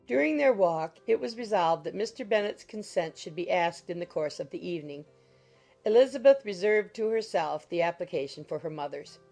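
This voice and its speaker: Woman reading a classic novel audiobook, lots of fry, faint music behind her. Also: fairly low pitch, animated, normal speed, dark tone. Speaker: narrator. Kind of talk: scripted literary audiobook narration.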